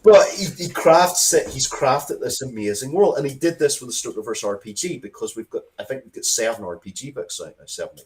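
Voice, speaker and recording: A man's voice: medium pitch, expressive, fairly quick, hardly any pauses, poor audio. A man chatting casually as an interview guest, rambling and often breaking off to restart his sentences. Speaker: interview guest, comics and game writer.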